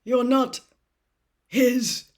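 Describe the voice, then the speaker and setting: A woman's voice: a little high, voice pushed up. A woman playing a mad, desperate older wizard official. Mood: frantic, desperate. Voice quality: breathy gasping, broken halting delivery. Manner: swings from fluent officious dictation to halting frantic gasps.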